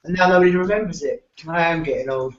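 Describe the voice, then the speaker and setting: A man's voice: a little high, quick pace. A male interviewer, asking questions casually with many hesitant false starts. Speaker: radio show host interviewer. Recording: roomy, dull lo-fi sound.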